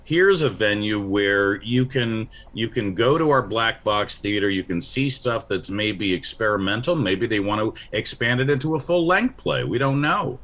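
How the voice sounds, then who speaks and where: A man's voice: fairly low pitch, animated, normal speed, few pauses, a bit bright. Wry man chatting as an interview guest. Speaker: interview guest, community theater president. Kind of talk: casual live interview answers.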